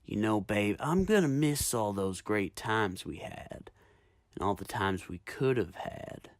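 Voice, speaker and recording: A woman voicing a cruel, smirking gangster man in a gravelly, taunting drawl for a story reading. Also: a bass voice, expressive, a little slow, dry recording, clean studio sound, voice pushed down, exaggerated voice. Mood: smug taunting.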